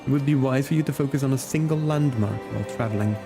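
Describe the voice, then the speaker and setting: A man from Belgium reading game dialogue aloud as a formal, cautious fantasy gentleman with measured, archaic wording. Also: mid-range voice, vocal fry, music in the background, close to an everyday voice. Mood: grave, advising.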